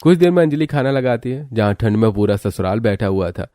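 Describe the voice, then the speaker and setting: A man's voice: medium pitch, expressive, brisk, dark tone, dry recording. A man narrating a scripted family cartoon story. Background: background music.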